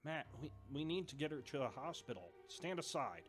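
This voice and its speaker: A man's voice: on the high side, sing-song, a little fast, faint music behind, pitched above the performer's own voice. A man voicing an anxious, exasperated teenage brother with an urgent, informal manner in an audio story.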